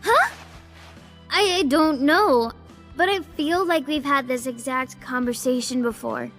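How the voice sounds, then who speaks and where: An actress playing a caring, reassuring young female cadet in a video game, gentle and conversational. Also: high voice, a little slow, soft background music. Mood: puzzled.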